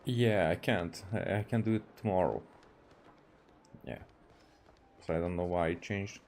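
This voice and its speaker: A man from Hungary with a Hungarian accent, casually streaming a game and talking haltingly with many fillers and restarts. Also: low-pitched, darkish tone, a little hiss or hum. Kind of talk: casual livestream commentary, reading game dialogue aloud.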